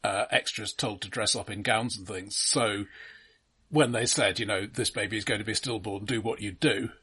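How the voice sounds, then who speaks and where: A man's voice: fairly low pitch, steady tone, moderate pace, pushed and bright, slightly rough. A man co-hosting a casual film discussion, offering his observations conversationally. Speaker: film podcast co-host.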